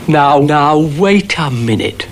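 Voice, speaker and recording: A man's voice: fairly high pitch, lively intonation, slow pace, slight background noise. A man voicing a pompous, exasperated male dog in a cartoon, haughty and grumbling.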